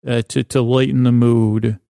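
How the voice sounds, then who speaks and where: A man with a creaky voice hosting a podcast, delivering a meandering, halting, self-deprecating bedtime monologue full of digressions and pauses. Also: medium pitch, flat delivery, mellow, no echo, clean studio sound. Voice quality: creaky, gravelly. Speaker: podcast host.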